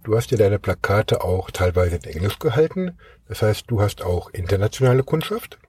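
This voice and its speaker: A man hosting a podcast, asking questions at a festival and reading the show's outro in a lively, clearly articulated way. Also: on the low side, moderate pace. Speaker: podcast host and interviewer. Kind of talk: interview questions and scripted outro. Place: outdoor music festival.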